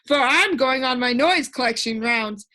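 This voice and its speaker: A woman voicing a loud, weepy and gleeful shapeless male noise creature in a storybook, with bellowed, drawn-out exclamations. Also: sits high. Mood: eager, appreciative.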